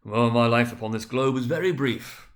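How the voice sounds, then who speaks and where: A man's voice: medium pitch, moderate pace, natural voice. A man voicing a solemn, ageing Christmas spirit, stern and sorrowful, in an emphatic, declamatory storybook reading. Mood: grave. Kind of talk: dramatic storybook reading of a Victorian tale.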